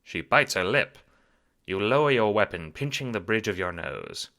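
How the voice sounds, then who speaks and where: A man giving dramatic, wry scripted narration for an audio drama, theatrical and expressive. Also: fairly low pitch, moderate pace, creaky.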